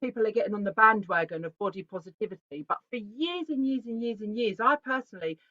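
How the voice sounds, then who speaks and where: A woman, giving a chatty, animated presentation that often breaks off and restarts itself. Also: mid-range voice, quick pace, dry recording. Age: 40s. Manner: chatty, animated, self-interrupting. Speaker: artist giving a talk. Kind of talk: casual conference presentation. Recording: dull, lo-fi video call audio.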